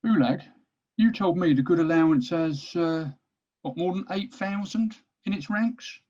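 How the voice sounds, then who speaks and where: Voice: mid-range voice, a little slow, darkish tone, highs cut off, lightly acted. A performer playing a cowardly, exasperated male orc captain plotting in secret at a table read.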